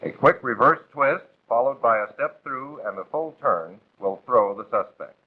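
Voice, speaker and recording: Narrow phone-like old film soundtrack, American man, husky voice, formal instructional narration, 1940s style. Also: medium pitch, lively intonation, normal speed.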